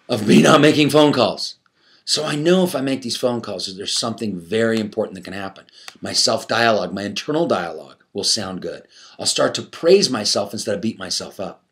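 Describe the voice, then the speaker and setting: A man speaks as a motivational coach, in a conversational but emphatic way at a middling pitch. He repeats key phrases for effect and poses rhetorical questions to his listeners. He also voices inner self-talk as he explains. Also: fairly bright tone. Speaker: motivational coach addressing an audience.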